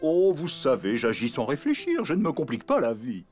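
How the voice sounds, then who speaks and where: An actor playing an easygoing, playful elephant dad in a French cartoon, with an expressive delivery. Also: male voice, medium pitch, a little fast. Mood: breezy. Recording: music playing behind the voice.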